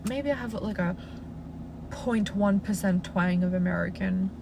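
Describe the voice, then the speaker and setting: Young Australian woman host with a Russian first language, chatty and reassuring, mid-range voice, steady car noise behind her. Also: medium pitch, measured pace. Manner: chatty, frequent "like" fillers, reassuring advice-giver. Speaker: livestream host answering viewer questions. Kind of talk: casual live Q&A. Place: inside a parked car.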